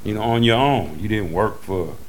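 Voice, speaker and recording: A man's voice: lowish voice, moderate pace, slight background noise. A middle-aged American man with a Detroit accent, talking bluntly and casually in slangy, profane interview speech. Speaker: music producer interviewee.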